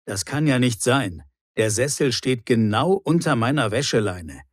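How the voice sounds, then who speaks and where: Man acting a grumbling neighbour, slightly rough voice. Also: mid-range voice, expressive, measured pace. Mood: annoyed. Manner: reproachful, formal. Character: grumbling, fault-finding male neighbour in an apartment house. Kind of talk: scripted language-lesson dialogue.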